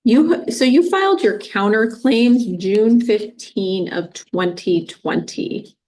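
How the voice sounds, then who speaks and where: A woman judge ruling in court, speaking in a measured, firm and formal way. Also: mid-range voice, a little mellow, low-quality recording.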